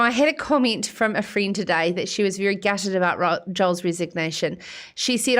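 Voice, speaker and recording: Bubbly New woman hosting a show. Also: medium pitch. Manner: bubbly, teasing, frequent laughter. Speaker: host. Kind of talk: chatty live show hosting.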